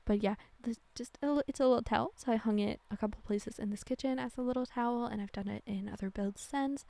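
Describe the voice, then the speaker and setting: A woman's voice: a little high, quick pace, creaky, dark tone, no echo. Chatty young woman. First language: Norwegian. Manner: rambling, chatty, self-correcting with many fillers. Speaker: game builder narrating a speedbuild. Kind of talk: casual voice-over chat.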